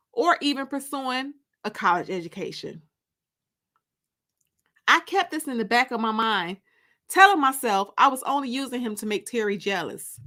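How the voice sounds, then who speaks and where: A woman narrating a first-person story aloud in an expressive, confiding, emphatic way. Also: fairly high pitch.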